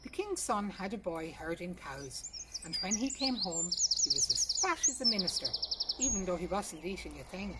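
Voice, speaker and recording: A woman's voice: lowish voice, sing-song, normal speed, far from the mic. Irish woman storyteller, noisy birdsong behind. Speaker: storyteller reading a folk tale. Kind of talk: traditional oral folk tale narration. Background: birdsong, ambient noise.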